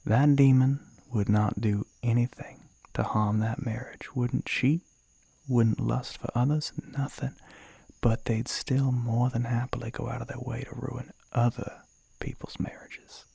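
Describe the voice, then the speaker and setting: A man playing a suave, flirty male demon in an ASMR roleplay, with a Southern American accent, a breathy, gravelly voice that often drops to a whisper, and a teasing, coaxing drawl. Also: mid-range voice, flat delivery, measured pace, dark tone, no room sound. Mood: explaining, earnest.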